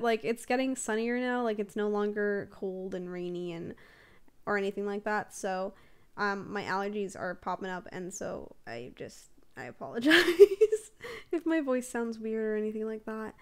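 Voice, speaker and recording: A young woman talks loosely over her game footage in a relaxed, rambling way, giggling between thoughts and piling up fillers. Her voice sits at an ordinary middle pitch for a woman and sounds a little stuffy from allergies. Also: unhurried, clean tone. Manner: rambling, chatty, giggles often, many fillers. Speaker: Sims builder and streamer. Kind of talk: casual voiceover over a speed build.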